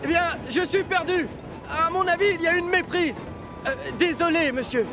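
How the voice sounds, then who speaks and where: A man's voice: very high-pitched, moderate pace, music in the background, crowd noise. A man voicing a boastful, impatient big male express locomotive in a children's cartoon, pompous and full of exclamations. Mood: flustered. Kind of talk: animated children's series acting.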